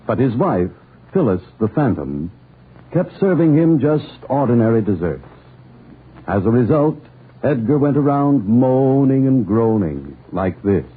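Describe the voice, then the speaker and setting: A man's voice: mid-range voice, very animated, a little slow, husky. A man announcing on 1940s radio with a polished, theatrical, emphatic storytelling delivery. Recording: tinny, hissy old radio recording.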